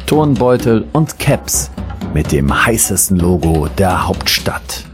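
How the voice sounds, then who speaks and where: A man with a low voice reads a scripted radio advert as an announcer. His promotional delivery is tongue-in-cheek, and loud music plays behind him. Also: big pitch swings, takes it slowly. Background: loud music bed.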